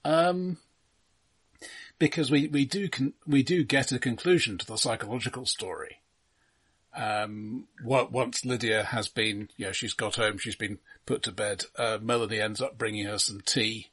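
A man co-hosting a casual film discussion, explaining analytically in a halting way, often repeating words. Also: mid-range voice, steady tone, a bit bright, husky. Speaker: podcast co-host.

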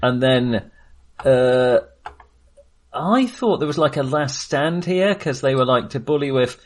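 A man's voice: mid-range voice, lively intonation, measured pace. Wry, rambling man chatting about film. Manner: rambling, hesitant, often trailing off mid-sentence. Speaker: film podcast host. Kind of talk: casual film discussion.

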